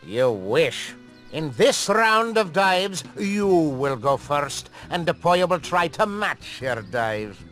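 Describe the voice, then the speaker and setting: An actor playing a brassy diving contest judge and announcer in a video game, emphatic in delivery. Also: male voice, a little high, big pitch swings, slow pace, a bit bright, soft background music, full character voice. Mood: brassy, official.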